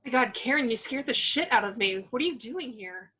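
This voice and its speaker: Woman playing a blunt, bright-voiced young survivor. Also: a little high, animated, brisk, husky, muffled recording, full character voice. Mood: direct. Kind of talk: amateur at-home screenplay table read.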